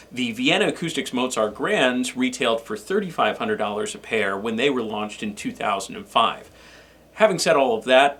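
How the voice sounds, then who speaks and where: A man co-hosting a product review, delivering scripted information in a measured, somewhat formal way. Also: lowish voice, a bit bright. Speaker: show co-host and reviewer.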